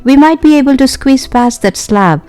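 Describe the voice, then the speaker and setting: A woman's voice: high-pitched, animated, normal speed, darkish tone, smooth, faint music behind, pitched above the performer's own voice. A woman voicing a brave, protective schoolboy in a children's storybook, cheerful, plucky and reassuring. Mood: thoughtful, decisive. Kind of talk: children's storybook character acting.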